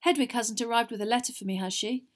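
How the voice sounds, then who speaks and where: An earnest teenage boy from a wizard storybook, acted by a woman reader. He talks with quiet urgency, as if to close friends. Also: on the high side, fairly even intonation, fast, voice pushed up, close to an everyday voice. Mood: urgent.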